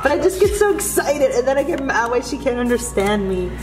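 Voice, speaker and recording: A woman's voice: on the high side, lively intonation, brisk, reverberant, over music. A young woman whose first language is Spanish, chatting casually and laughing as she opens card packs at home. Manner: chatty, loses her train of thought, laughs often. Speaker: co-host opening trading card packs. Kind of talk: casual chat while unboxing. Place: room at home, indoors.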